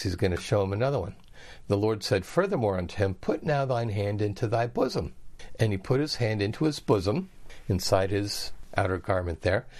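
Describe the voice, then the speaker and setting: Male Bible teacher, lower-pitched voice, conversational verse-by-verse teaching with plain asides. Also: darkish tone. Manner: reads scripture then explains in plain conversational asides.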